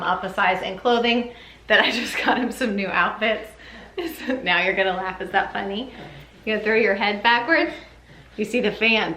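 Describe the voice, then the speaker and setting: A woman's voice: mid-range voice, a bit bright. A woman giving a casual, chatty vlog update as a mother, sometimes slipping into sing-song baby talk. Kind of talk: casual chat to camera. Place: indoor room at home with ceiling fan. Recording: roomy echo, faint background noise.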